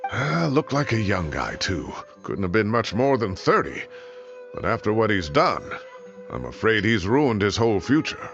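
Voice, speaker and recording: Casting: fussy old game academic, animated and exclaiming, put-upon, somewhat muffled audio. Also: male voice, on the low side, normal speed, vocal fry, soft background music, full character voice. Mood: regretful. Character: fussy, indignant elderly male professor. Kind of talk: scripted video game dialogue.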